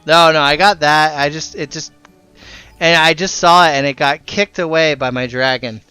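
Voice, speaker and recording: A man's voice: a little high, fairly even intonation, normal speed, sharp tone, clear, resonant tone, dry recording. A man chatting casually as he streams a game, sweary and given to exclamations. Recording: slightly dull, lo-fi stream audio. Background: music.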